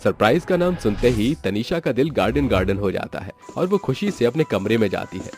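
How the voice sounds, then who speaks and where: A man's voice: medium pitch, big pitch swings, brisk, creaky, darkish tone, soft background music. A man narrating a scripted story as the storyteller.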